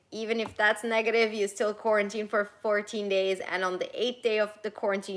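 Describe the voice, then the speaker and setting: A bright, clear-voiced young woman whose first language is Armenian, hosting a relaxed live Q&A from home. She chats casually, reads viewer comments aloud, and drifts from one question to the next. She often restarts her sentences and fills pauses with "um". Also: mid-range voice. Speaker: travel vlogger answering live chat. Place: home in Toronto.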